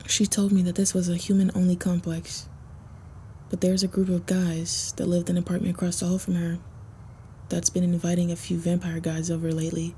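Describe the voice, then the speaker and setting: A woman narrating a first-person story for an intimate roleplay audio in a soft, measured way. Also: lowish voice, a little hiss or hum.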